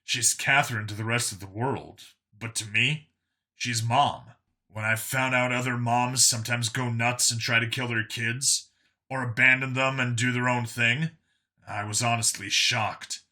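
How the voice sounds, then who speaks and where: A man plays a tough enforcer in a story, speaking at a middle pitch with a very bright, forceful edge. He explains himself earnestly and bluntly. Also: fairly even intonation, a little slow. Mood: reflective. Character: Vincent, earnest tough human enforcer, reformed bigot.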